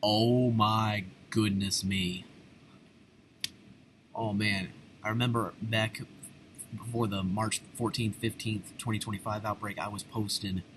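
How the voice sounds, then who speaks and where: A low-voiced American man hosts a live reaction, talking off the cuff. He is excitable and exclamatory, full of casual slang. There is clear noise behind his voice. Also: takes it slowly, long pauses. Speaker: reaction video host. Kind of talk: live unscripted reaction commentary.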